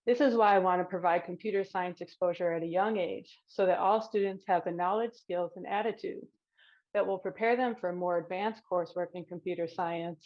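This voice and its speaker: Woman, prepared talk, persuasive, firm, somewhat low voice, dull lo-fi sound. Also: steady tone, a little fast. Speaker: competition presenter. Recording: dull lo-fi recording.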